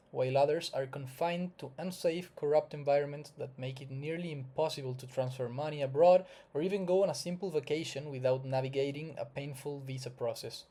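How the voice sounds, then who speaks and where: A man from Chile with a Chilean Spanish accent, presenting a scripted explainer as a consultant in formal, measured, earnest phrasing. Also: on the high side, mellow. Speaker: investment migration consultant presenting. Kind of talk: scripted explainer narration to viewers.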